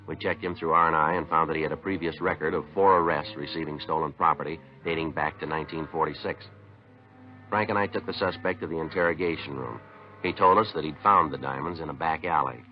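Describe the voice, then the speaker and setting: An actor playing a terse, no-nonsense police detective who also narrates, clipped and matter-of-fact, in a 1950s radio drama. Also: male voice, very deep voice, fast, soft background music. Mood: deadpan. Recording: dull 1950s radio recording.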